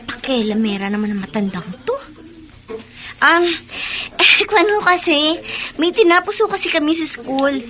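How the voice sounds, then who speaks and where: A Filipino actress playing an evasive, insolent schoolgirl in a radio drama, speaking haltingly. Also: sits high, lively intonation. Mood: annoyed muttering.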